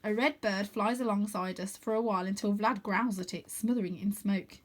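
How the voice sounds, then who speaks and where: A woman reading a children's story aloud in an expressive narrating style. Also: mid-range voice, a little fast. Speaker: storybook reader.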